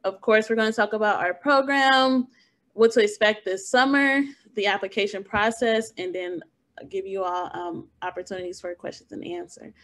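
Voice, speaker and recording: Young female host, info session on a video call, relaxed and chatty. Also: medium pitch, normal speed. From Oakland, California. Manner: relaxed, chatty, laughs easily. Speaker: academic preparation specialist, meeting host.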